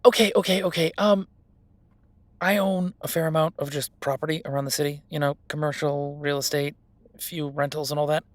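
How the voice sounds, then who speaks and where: A man's voice: on the high side, steady tone, fairly quick, dry recording, close to an everyday voice. A man playing a friendly, self-made CEO and old college friend in a roleplay audio drama, talking casually with stammers and self-deprecation. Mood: flustered, awkward. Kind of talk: intimate one-sided roleplay audio drama, conversational.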